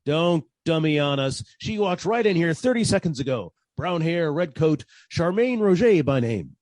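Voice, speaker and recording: An actor playing a wisecracking, unflappable private detective in an old-time radio drama, with a husky voice and a wry, brisk manner. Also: male voice, a little high, very animated, a little mellow, no echo, clean studio sound. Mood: insistent.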